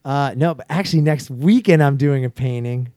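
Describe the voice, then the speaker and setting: A man's voice: medium pitch, moderate pace, warm tone, dry recording, studio quality. A man in his forties chatting casually as a guest, laid-back and slangy, with many restarts. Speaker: guest skydiver. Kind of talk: casual chat.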